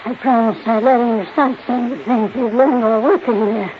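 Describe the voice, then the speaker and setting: An actress playing a frail, gracious old grandmother near death, with a weak, breathy voice, in a 1950s radio drama. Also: on the high side, fairly even intonation, fairly quick, lightly acted. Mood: gentle, weary. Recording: dull, noisy 1950s radio recording.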